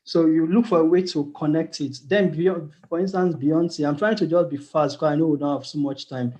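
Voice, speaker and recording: A man on a video call gives tips to newcomers, pausing often and restarting his sentences. His voice sits fairly high but sounds mellow and warm. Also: steady tone. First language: not German. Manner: hesitant, many fillers and restarts. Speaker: speaker giving tips. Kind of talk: casual online talk.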